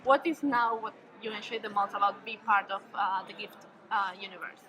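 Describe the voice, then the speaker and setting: A woman's voice: mid-range voice, fairly even intonation, brisk, noisy background. A woman interviewer asking questions at a press junket, eager and stumbling a little over her words. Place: busy convention floor. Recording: convention crowd, speaking from off-mic.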